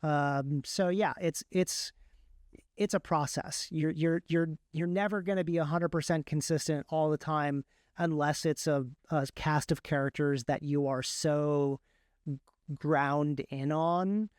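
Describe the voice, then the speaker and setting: A man's voice: on the high side, steady tone, unhurried, clean tone, no room sound, studio quality. A man speaking casually as an interview guest, explaining his craft and often repeating words mid-sentence. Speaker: guest, author and audiobook narrator.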